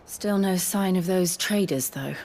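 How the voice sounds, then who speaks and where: A woman's voice: on the low side, a little slow, darkish tone, a little hiss or hum. A woman playing a determined, loyal adventurer in a fantasy video game, speaking earnestly and firmly.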